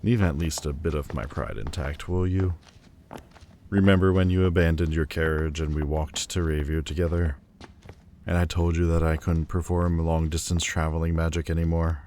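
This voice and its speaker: A man playing a proud, wounded demon lord in an audio roleplay, guarded but vulnerable, with halting, stiffly formal, wry delivery. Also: extremely low pitch, level tone, a little mellow, a little hiss or hum. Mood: wry, embarrassed. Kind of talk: intimate audio roleplay acting. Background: ambient sound effects.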